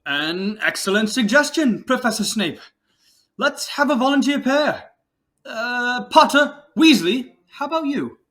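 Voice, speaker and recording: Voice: mid-range voice, animated, a little slow, a bit bright. An actor in an audio drama playing a vain, showy male wizard professor with a pompous, theatrical, self-assured way of talking. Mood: breezy bluster.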